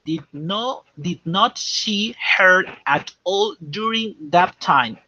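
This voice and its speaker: A man teaching English, dictating slowly and checking often that students understand. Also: high-pitched, pushed and bright, dry recording. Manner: slow and repetitive, checking understanding. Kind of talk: classroom dictation lesson. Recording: dull lo-fi classroom recording.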